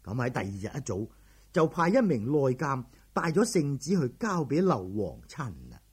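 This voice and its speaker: Raspy, animated Cantonese male storyteller. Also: mid-range voice, normal speed. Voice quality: very husky, raspy. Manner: animated, colloquial, emphatic. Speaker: storyteller, narrator. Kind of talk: traditional narrative storytelling.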